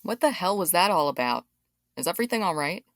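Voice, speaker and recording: An actress playing a sympathetic, inquisitive aunt who asks many questions. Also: female voice, lowish voice, very animated, fast talker. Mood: concerned. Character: concerned, curious aunt. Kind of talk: audio drama acting.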